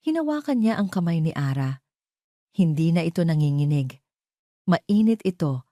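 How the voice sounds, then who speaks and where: A woman tells a scripted romance drama. Her voice sits low and has a soft, dark tone. The sound is dry, with no room on it, as she narrates like an audio storyteller. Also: sing-song, moderate pace, dry recording.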